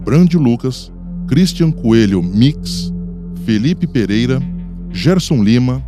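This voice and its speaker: A man's voice: mid-range voice, expressive, takes it slowly. A man with a Brazilian accent reading scripted credits as a radio announcer. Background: music behind the voice.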